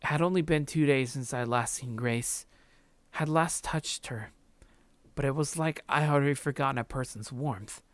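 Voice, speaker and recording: Visual novel narrator: numb, heartbroken man read by a man, mid-pitched, brooding, subdued delivery. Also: normal speed, dry recording, close to an everyday voice. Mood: overwhelmed.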